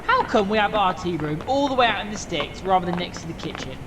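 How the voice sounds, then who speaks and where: A man's voice: sits high, expressive, quick pace, noisy background. A man playing a puzzled, mild-mannered new worker in an audio drama, a little tentative.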